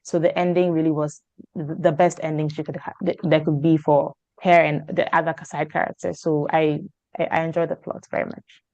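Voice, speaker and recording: A woman's voice: deep voice, flat delivery, a little fast, darkish tone, dry recording, highs cut off. A woman in a casual book discussion, talking hesitantly and repeating words as she thinks. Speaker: book club panelist.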